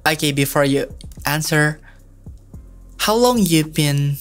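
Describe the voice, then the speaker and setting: Soft-spoken young man, slow and shy. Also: on the high side, expressive. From Indonesia. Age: young adult. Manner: soft-spoken, bashful, hesitant. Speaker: singer and video chat host. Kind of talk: casual video chat between songs. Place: small home studio room, indoors. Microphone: studio mic on the desk, close. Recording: guitar music in the background.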